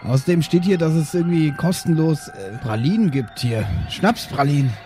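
A man's voice: on the high side, lively intonation, normal speed, mellow, soft background music, natural voice. A man voicing a lazy, dim, rambling alien father in an improvised comedy audio drama. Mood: laid-back. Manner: rambling, hesitant, laid-back. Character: lazy, dim, easygoing alien dad fond of food.

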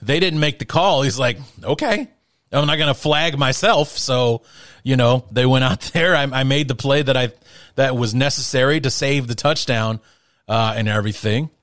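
A man's voice: medium pitch, moderate pace, fairly bright tone, no echo, studio quality. A man hosting a sports podcast, blunt and opinionated, swearing freely as he talks. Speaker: podcast host. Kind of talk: casual sports podcast talk.

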